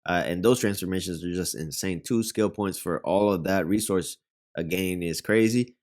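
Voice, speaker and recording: A man's voice: deep voice, moderate pace, darkish tone. A man with a Puerto Rican Spanish accent and Spanish as his first language, chatting loosely about a fighting game as a reaction host. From Puerto Rico. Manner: chatty, rambling, uses many fillers. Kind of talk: casual gaming commentary.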